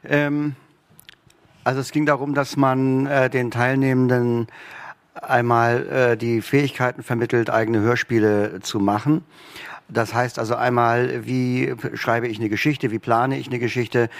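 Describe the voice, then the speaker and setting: A man's voice: mid-range voice, vocal fry, no room sound. A man explains what his workshop taught. He talks with many fillers, and the sound is dry and close. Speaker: workshop leader. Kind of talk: panel discussion explanation.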